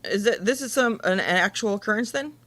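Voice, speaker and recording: A woman's voice: mid-range voice, expressive, brisk, fairly bright tone. A woman chatting casually as a podcast co-host. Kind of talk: casual chat.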